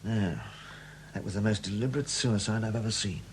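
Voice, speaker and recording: An actor playing a shrewd, middle-aged chief superintendent in a 1970s radio drama, measured, probing and dryly courteous. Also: male voice, fairly low pitch, close to an everyday voice. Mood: measured, deferential. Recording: hissy old 1970s radio broadcast recording.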